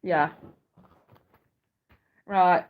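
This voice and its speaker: A woman's voice: on the low side, fairly even intonation, drawn-out words, muffled recording. A middle-aged woman with a blocked nose chatting casually with viewers on a live stream, swearing now and then.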